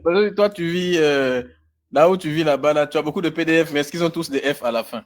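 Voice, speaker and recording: A man's voice: on the high side, fast. A man in a live online debate who mocks his opponent and keeps pressing him with questions. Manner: teasing, mocking, insistent questioning.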